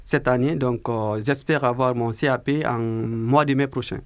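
A young man in his twenties from Guinea answers a radio interviewer. He speaks slowly and carefully, pausing to find his words. His voice sits at a middle pitch, and the recording is dry and close. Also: steady tone, no room sound. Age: 20s. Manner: slow, careful speech with hesitations. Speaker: interview guest, apprentice baker.

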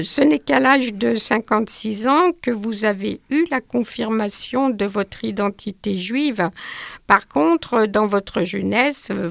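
A woman interviewer asking slow, hesitant questions with many fillers. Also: mid-range voice, vocal fry, no room sound, clean studio sound.

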